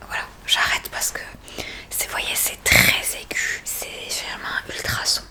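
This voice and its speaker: A woman's voice: measured pace, pushed and bright. Whispering woman in an ASMR hearing-exam roleplay. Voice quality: breathy unvoiced whisper. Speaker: ASMR creator playing a hearing examiner. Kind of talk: whispered ASMR medical roleplay, addressing the listener.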